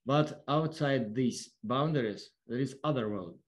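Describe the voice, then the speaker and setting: A man with a Lithuanian accent gives an explanatory online lecture in halting, non-fluent English. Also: mid-range voice, a crowd in the background. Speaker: observatory worker giving a lecture. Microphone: online video call.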